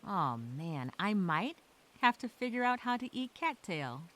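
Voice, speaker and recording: A woman voicing a resilient, chatty female castaway telling a survival diary, expressive and talking to herself. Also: mid-range voice, measured pace, slight background noise. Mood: hopeful. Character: stranded castaway woman journaling, resilient, chatty, wryly upbeat. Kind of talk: first-person diary storytelling, acted.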